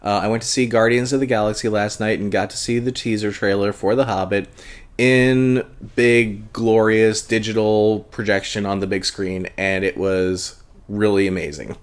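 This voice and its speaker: A man with a fairly low voice hosts a live book club stream and chats easygoingly with his viewers. He sounds a little congested and pads his sentences with plenty of ums and uhs. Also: normal speed. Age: adult, long-time convention goer. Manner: hesitant, many fillers, relaxed and chatty. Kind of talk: casual live-stream chat reading viewer comments.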